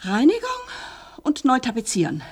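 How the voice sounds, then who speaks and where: A woman's voice: on the high side, very animated, normal speed, a little mellow, breathy edge, heavily acted. An actress playing a middle-aged, fearful, nagging mother and landlady in a radio play, alarmed and insistent, often repeating herself. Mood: indignant, nervous. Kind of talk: German radio play acting.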